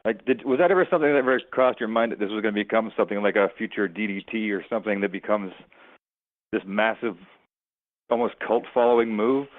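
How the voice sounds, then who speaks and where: A man, a sports reporter, asking a question on a press conference call in a hesitant way, pausing mid-phrase. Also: lowish voice. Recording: narrow, radio-like phone line.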